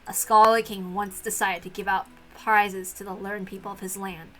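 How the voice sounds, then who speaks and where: A woman's voice: mid-range voice, flat delivery, normal speed, pushed and bright, lightly acted, poor audio. A woman voicing a cool, confident, teasing puzzle challenger with a dry, self-assured manner, in a visual novel reading.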